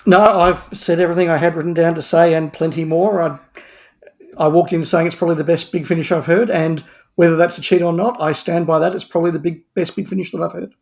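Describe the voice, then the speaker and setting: A man with a fairly high-pitched voice talks as a guest on a review podcast. His delivery is conversational and informal. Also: fairly even intonation, fast talker, gravelly, darkish tone. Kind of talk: casual review chat.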